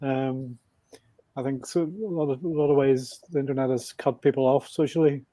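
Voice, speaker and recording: A man in his sixties with a Belfast Northern Irish accent, a podcast guest reflecting on things in a measured, thinking-aloud way. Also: mid-range voice, fairly even intonation, mellow, no echo. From Northern Ireland. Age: 60s. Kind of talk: casual interview talk.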